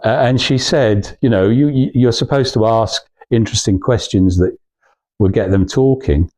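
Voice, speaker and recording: A man's voice: medium pitch, normal speed, dark tone. A male speaker gives an informal talk to a small group. His delivery is hesitant and anecdotal. He wanders through his points, often breaking off and starting a phrase again. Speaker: guest speaker at a club talk.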